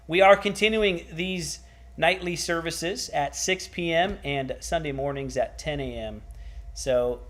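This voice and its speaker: A man's voice: fairly high pitch, unhurried, a bit bright. A man hosting a livestreamed church broadcast, upbeat and enthusiastic as he interviews a guest. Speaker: church host.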